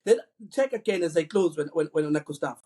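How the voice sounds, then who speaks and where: Husky high-voiced man. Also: animated, fast, dark tone. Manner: earnest, repeats words while thinking. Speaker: podcast co-host. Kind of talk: casual football chat.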